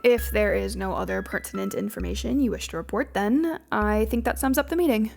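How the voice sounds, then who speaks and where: A woman playing a brisk, wry female agency official running a formal debriefing in an audio drama. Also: mid-range voice, vocal fry, a little mellow, natural voice. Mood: dry, wry.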